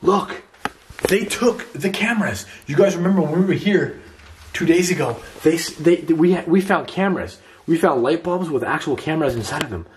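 A young man vlogging as he explores an abandoned house, talking excitedly to his viewers and swearing now and then, recorded on a handheld camera. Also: fairly high pitch, fairly quick, echoey room, a little hiss or hum. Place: dark abandoned house, indoors. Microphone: handheld camera mic.

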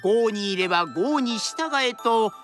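A woman narrates in a formal storyteller's style. Her voice is slightly high and rises and falls expressively, polite throughout, with clear music behind her. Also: expressive, takes it slowly.

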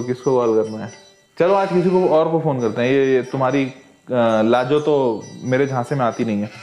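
A man's voice: medium pitch, a little mellow. Male, thirties, playful teacher making casual prank chat, light music bed. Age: 30s. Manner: playful, teasing. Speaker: online teacher. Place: indoor teaching studio. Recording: background music under the voice.

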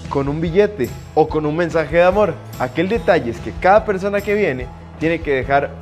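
A man's voice: on the high side, moderate pace. A young man with a Costa Rican accent narrating a scripted television feature as a reporter. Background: background music.

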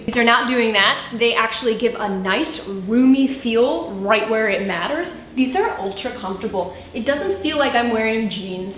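A woman in her thirties doing a try-on haul, chatty and playful, moving and posing as she talks to a camera set back in a bright room at home. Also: fairly high pitch, roomy sound, slight background noise. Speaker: fashion vlogger.

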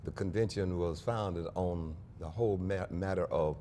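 Older male pastor, very low mellow voice, measured and earnest, lapel mic, faint hum. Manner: measured, earnest, with small restarts. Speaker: pastor and convention guest. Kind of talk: studio interview. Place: small TV studio set.

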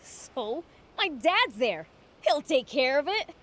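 High, bratty demon prince. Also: female voice, very animated, slow, fairly bright tone, heavily acted. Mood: dismissive. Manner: brash, dismissive. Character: bratty, cocky young demon prince boy. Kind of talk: video game voice acting. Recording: echoey hall reverb.

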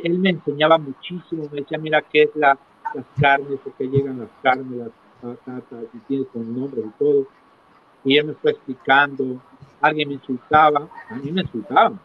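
Middle-aged man on a tinny, noisy call. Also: fairly high pitch. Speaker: interview guest. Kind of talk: recounting his life in a conversational interview. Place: small indoor room, video call. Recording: tinny phone-like call with clear noise.

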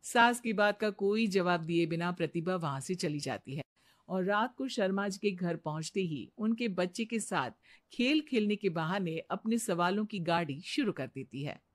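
A woman narrating a scripted animated moral story as a storyteller. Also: fairly low pitch, moderate pace.

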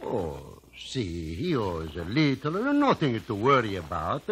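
An actor playing a kindly, earnest immigrant shopkeeper with an Italian accent and halting broken English, in 1950s radio drama style. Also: male voice, fairly low pitch, wildly swooping pitch, fairly bright tone, full character voice. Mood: reluctant. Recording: noisy vintage radio recording.

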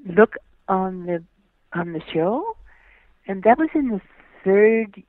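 Older woman, gracious, drawn-out phrasing, guest actress, tinny phone line. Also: medium pitch, animated, dry recording. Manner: gracious, drawn-out, affirming yes often. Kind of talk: interview recollection.

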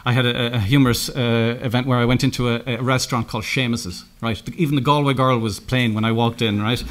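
A middle-aged man with an Irish accent speaks from a lectern in a conference room. He tells stories in a loose, anecdotal way, stopping often to restart and correct himself. His voice sits in an ordinary middle pitch. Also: steady tone, a bit bright. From Ireland. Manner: anecdotal, halting with many restarts and self-corrections. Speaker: keynote speaker. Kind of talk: conference keynote talk.

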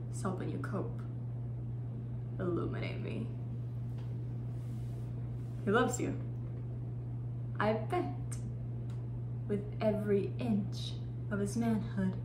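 A woman's voice: mid-range voice, lightly acted. A woman performing a dramatic monologue as a cruel, mocking woman, menacing and profane, often dropping toward a whisper. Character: cruel, mocking woman taunting a broken acquaintance. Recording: echoey room with faint hiss.

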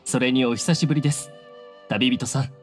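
A man's voice: mid-range voice, a little fast, faint music behind, close to an everyday voice. A man playing a dutiful young aide in an anime game, speaking formally and respectfully. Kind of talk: Japanese anime game voice acting.

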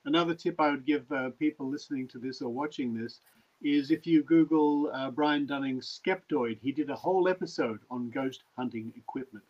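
A man's voice: medium pitch, normal speed. A middle-aged man, a genial and wordy host of an online talk, speaking over a video call from a home study. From Australia. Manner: genial and wordy, wraps up with thanks. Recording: dull lo-fi video call audio.

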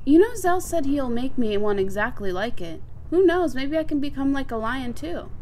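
Woman acting high-voiced, playful young heroine. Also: expressive, moderate pace, a little mellow, slight background noise. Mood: playful, teasing. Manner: coy, teasing, conversational. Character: playful, sweet, teasing young woman adventurer. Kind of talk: video game fan dub acting.

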